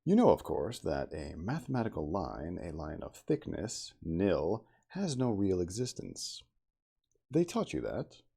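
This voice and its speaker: A man reading the part of an earnest, learned Victorian gentleman inventor in an audiobook, lecturing in a deliberate, didactic way. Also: low voice, very animated, vocal fry, breathy edge, full character voice. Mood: insistent, lecturing.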